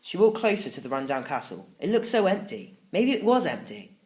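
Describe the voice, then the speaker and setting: A man reading a children's audiobook in the first person, with expressive, emphatic scripted storytelling. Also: high-pitched, brisk. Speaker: audiobook narrator.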